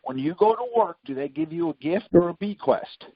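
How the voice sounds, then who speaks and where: A man's voice: sits high, sing-song, fairly quick, vocal fry. A man coaching by question and answer, insistently quizzing his listener in a Socratic way on a conference call. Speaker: teacher leading a group conference call. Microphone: conference phone call. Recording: muffled, lo-fi conference call audio.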